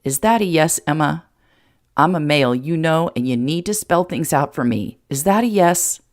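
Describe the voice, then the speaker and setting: A woman's voice: low voice, animated, normal speed. A woman voicing an earnest, lovestruck, plain-spoken small-town sheriff in an audiobook romance, fond and a little exasperated. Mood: fond, puzzled. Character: earnest, blunt, lovestruck adult male sheriff turned rancher.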